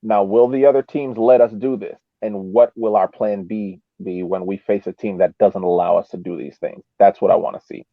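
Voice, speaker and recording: A man giving casual soccer match analysis as a podcast co-host, halting as he thinks, over a thin connection. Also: on the low side, fairly even intonation, no echo. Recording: thin phone-like line.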